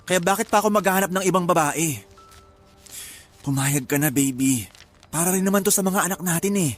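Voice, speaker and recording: A man's voice: high-pitched, fairly quick, faint music behind. An actor playing a desperate, shameless husband and father in a Filipino radio drama, pleading and persuasive.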